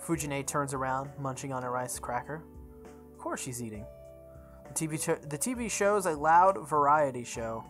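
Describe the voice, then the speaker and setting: A young man reading a visual novel aloud as a let's play host, breaking into casual animated commentary. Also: fairly high pitch, normal speed, soft background music.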